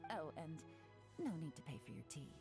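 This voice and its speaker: An actress playing a cool, confident female covert agent in a video game, wry and brisk. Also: low voice, big pitch swings. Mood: breezy. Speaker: game character. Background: game soundtrack music.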